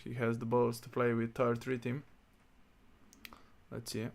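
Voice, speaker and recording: A man chats through his gaming livestream in a relaxed, muttering way, reading menus half to himself. His voice is gravelly and fairly monotone, with a mid pitch. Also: a little slow. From Slovenia. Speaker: streamer. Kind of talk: casual gaming livestream chat.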